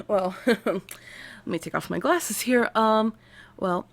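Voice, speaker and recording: A woman with an American accent voicing an eccentric, excitable woman scientist playing therapist in an ASMR roleplay, with quirky, halting delivery. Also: a little high, exaggerated voice. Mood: quirky, welcoming. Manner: quirky, halting, drawn-out delivery with sudden swoops. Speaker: roleplay voice performer. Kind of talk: ASMR character roleplay, speaking to the listener.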